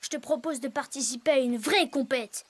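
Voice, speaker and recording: A woman's voice: high voice, a little fast. A woman voicing a brave young male leopard cub in a cartoon, spirited and confident. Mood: challenging. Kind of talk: animated series dubbing.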